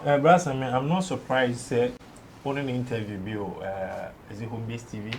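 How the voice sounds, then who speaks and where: A man's voice: mid-range voice, steady tone, moderate pace, darkish tone, a little hiss or hum. Emphatic Ghanaian man, mixing in Twi. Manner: mixes English with Twi, emphatic. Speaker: entertainment show pundit. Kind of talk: casual studio discussion.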